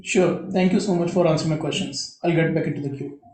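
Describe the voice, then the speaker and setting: A man's voice: a little high, fast, darkish tone. A man speaking as an analyst, putting questions to management on an earnings call. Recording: echoey, roomy conference call line.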